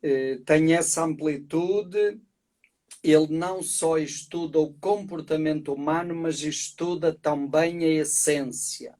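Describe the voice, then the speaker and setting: A middle-aged man from Portugal, a priest, explaining calmly and reflectively as a guest on a live video call. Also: fairly high pitch, takes it slowly. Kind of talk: live-stream conversation.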